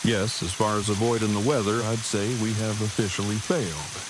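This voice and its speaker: A low-pitched male survivor, played by an actor in an audio drama. He talks in stiff, precise, wordy sentences with a dry, earnest formality. Faint rain sounds sit behind his voice. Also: fairly even intonation, a little fast, background noise, close to an everyday voice. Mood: deadpan. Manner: stiff, precise, long-winded wording, dry. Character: formal, verbose, earnest man surviving an apocalypse. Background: rain ambience.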